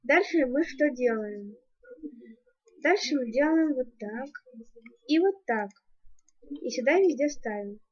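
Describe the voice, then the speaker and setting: High voiced girl gamer, woman doing a casual build walkthrough, mumbles counts to herself, muffled cheap mic. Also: lively intonation, slow, long pauses, a little mellow. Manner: thinks aloud, counting and muttering steps. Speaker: YouTuber, gaming channel host. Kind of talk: casual game building tutorial commentary. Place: indoors at home, screen-recording voice-over.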